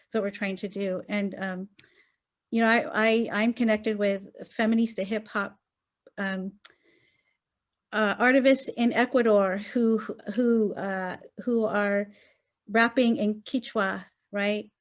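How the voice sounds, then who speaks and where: Woman academic on a zoom panel, talks it through, lots of um and right?, medium pitch. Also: fairly even intonation, takes it slowly. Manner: earnest, thinks aloud with many fillers and "right?" tags. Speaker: gender studies scholar on roundtable panel. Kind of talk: academic panel discussion over video call. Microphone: video call.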